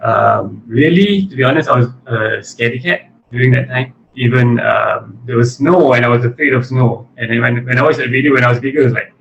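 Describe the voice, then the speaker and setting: A Malaysian-accented man answers casually as an interview guest. His mid-range voice has plenty of fry and gravel. The recording is somewhat muffled, with slight background noise. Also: mid-range voice, a little fast, few pauses. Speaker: interview guest, animation studio chief executive. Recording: muffled lo-fi audio with faint noise.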